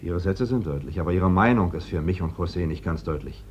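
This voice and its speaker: An actor playing a shrewd, insistent working man in a German radio play, questioning deliberately with an ironic edge. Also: deep voice, expressive. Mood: quietly probing. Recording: dull, noisy vintage radio recording.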